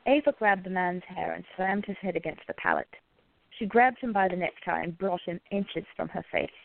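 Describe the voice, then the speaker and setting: A woman's voice: lowish voice, normal speed, creaky, phone-quality sound. A woman narrating a radio play from a script.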